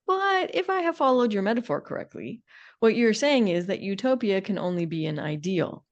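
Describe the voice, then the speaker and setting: A woman's voice: medium pitch, big pitch swings, normal speed, muffled recording. A woman co-hosting a conversational podcast, wry and questioning as she talks ideas over. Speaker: podcast co-host.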